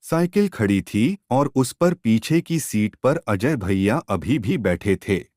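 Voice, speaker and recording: A man narrating a scripted story as a storyteller, in a measured, dramatic way. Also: mid-range voice, big pitch swings, a little mellow, no room sound, clean studio sound.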